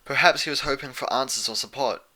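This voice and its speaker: A man's voice: mid-range voice, fast talker, pushed and bright. A man narrating a fan fiction audiobook in a scripted, literary storytelling way.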